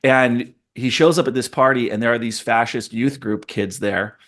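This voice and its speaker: A man's voice: mid-range voice, steady tone, normal speed, fairly bright tone. Thoughtful, rambling man hosting a podcast. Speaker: podcast host. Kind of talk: casual conversation.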